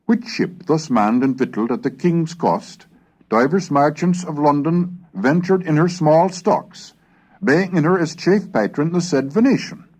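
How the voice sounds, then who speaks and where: A man giving a formal, measured reading of historical English texts in a scripted, declamatory narration style. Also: fairly high pitch, expressive, a little mellow, low-quality recording.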